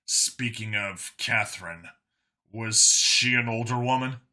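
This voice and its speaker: A man voicing a guarded, anguished young man in a science fiction story, speaking in a halting, emotional way. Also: fairly low pitch, pushed and bright, full character voice. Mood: anxious. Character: young male abductee cop, guarded, anguished son.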